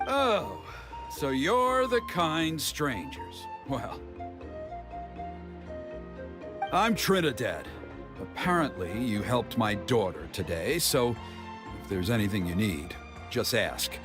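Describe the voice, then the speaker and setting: A game dad, tribe elder, voice goes up and down a lot, music behind. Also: male voice, medium pitch, big pitch swings, takes it slowly. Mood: wary, formal. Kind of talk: video game voice acting. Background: background music.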